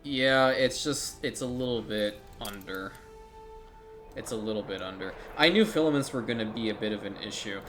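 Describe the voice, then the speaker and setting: A man's voice: fairly low pitch, moderate pace, fairly bright tone, faint music behind. A man giving casual, rambling commentary while playing a strategy game, thinking aloud and swearing now and then.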